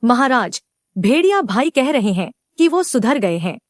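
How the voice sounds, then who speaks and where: A woman voicing a gentle, cautious, wise female goat in a cartoon moral story, earnest and polite. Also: fairly high pitch, very animated, unhurried, dry recording, clean studio sound.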